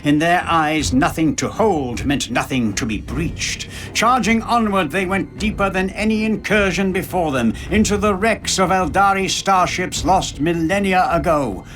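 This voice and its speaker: A man playing a grave, knowing storyteller, giving scripted dramatic narration for a war game in a measured, portentous manner. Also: a little high, animated, fairly bright tone, soft background music. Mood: grave, foreboding.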